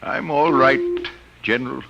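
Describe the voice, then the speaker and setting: An actor playing a stubborn, idealistic college professor, earnest and argumentative, in old radio drama style. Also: male voice, medium pitch, steady tone, a little slow, vocal fry, heavy background noise. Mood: calm, confident. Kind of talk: 1950s radio drama acting. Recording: dull old radio recording with music.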